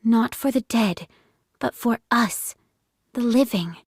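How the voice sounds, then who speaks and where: A young female android commander holds a squad together with firm, insistent urging. Her voice is on the high side, earnest and strained. The game drama recording is dry and close. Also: takes it slowly, breathy edge, no room sound, full character voice. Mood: pleading.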